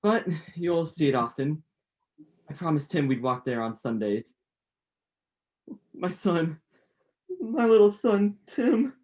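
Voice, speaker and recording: A performer playing a gentle, grieving father in a radio play, halting and tender. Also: mid-range voice, sing-song. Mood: anguished.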